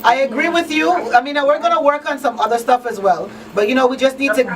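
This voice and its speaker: A woman's voice: high-pitched, quick pace. A woman hosting a live talk show in a conversational style. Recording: distant mic with background noise.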